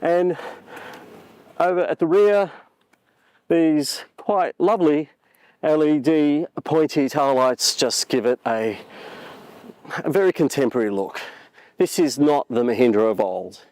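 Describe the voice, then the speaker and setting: A man casually presenting a car walkaround in a chatty, halting way, searching for words. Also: on the high side, darkish tone, no echo.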